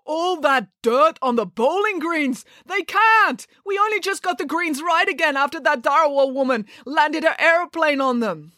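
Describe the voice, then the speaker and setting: A woman voicing an angry, excitable male PE teacher with a Russian accent in a children's storybook reading. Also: sits high, no room sound, clean studio sound, voice pushed up. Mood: horrified. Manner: indignant, exclamatory, halting when furious.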